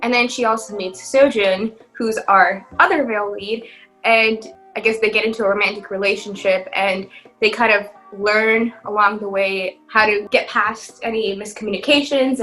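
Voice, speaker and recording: A woman's voice: mid-range voice, sharp tone, rough recording. A young woman co-hosting a podcast in a casual, informal and tentative conversational way. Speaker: podcast co-host.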